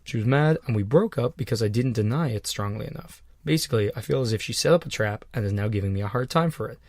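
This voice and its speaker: A man co-hosting a casual podcast, his voice at an ordinary middle pitch. He rambles, restarts and swears freely. The sound is close and dry, with no room around it. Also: lively intonation, fast talker, a little mellow, no echo. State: on cold medication. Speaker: podcast co-host. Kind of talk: casual chat.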